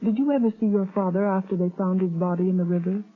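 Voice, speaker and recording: A woman voicing a loyal, probing young woman from a vintage radio play, earnest and direct, with a breathy voice. The sound is thin and tinny, like an old broadcast. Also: mid-range voice, steady tone, fast talker, soft background music, close to an everyday voice. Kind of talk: 1940s radio acting.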